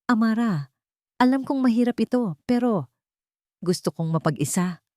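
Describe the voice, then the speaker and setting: A woman's voice: fairly high pitch, moderate pace, dry recording, pitched above the performer's own voice, close to an everyday voice. A woman narrator plays a wounded, betrayed woman in a Filipino audiobook drama. She is cold, drained and firm. The voice is female and sits close in a dry recording. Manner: flat, lifeless, firm.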